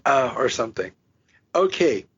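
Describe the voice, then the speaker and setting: A man hosting a podcast in casual chat, joking about himself with quick asides. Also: mid-range voice, big pitch swings, fairly bright tone, slight background noise. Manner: chatty, self-mocking, quick asides. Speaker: podcast host. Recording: slightly dull lo-fi podcast audio.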